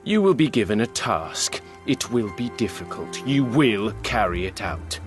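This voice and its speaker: Low, slow, imperious sun-worshipping chieftain. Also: male voice, animated. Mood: stern warning. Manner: pompous, commanding, archaic formal speech. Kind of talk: dramatic game cutscene acting. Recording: music behind the voice.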